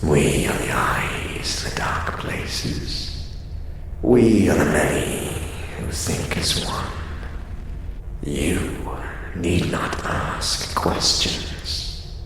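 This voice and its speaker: A man playing a menacing hive mind of telepathic rats in an audio drama, slow, imperious and accusing in a gravelly, whispery growl with cavernous reverb. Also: a bass voice, animated, fairly bright tone, distant mic, a little hiss or hum, full character voice. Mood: commanding, suspicious. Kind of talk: fantasy audio drama acting. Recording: long echoing reverb, music underneath.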